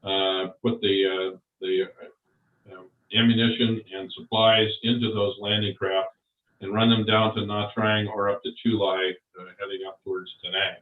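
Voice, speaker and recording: An older man recalling his service and career in an interview, talking slowly with many pauses and fillers. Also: on the low side, little pitch movement. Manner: slow, halting, many fillers. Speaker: interviewee, Vietnam veteran. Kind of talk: oral history interview. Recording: far off, roomy, phone-like.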